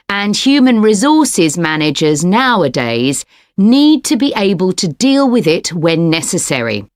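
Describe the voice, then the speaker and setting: A composed business studies lecturer, speaking slowly with lively intonation. Her scripted academic lecture is formal and explanatory. The recording is very dry. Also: medium pitch, no echo. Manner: formal, clearly structured explanation.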